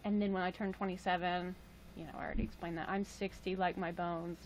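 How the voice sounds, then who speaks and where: A woman's voice: flat delivery, fast talker, slight background noise, poor audio. A woman in her late twenties hosting a casual livestream, chatting and joking with viewers. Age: 20s. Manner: chatty, jokey, with playful high exclamations. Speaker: livestream host. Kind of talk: casual livestream chat reading viewer comments.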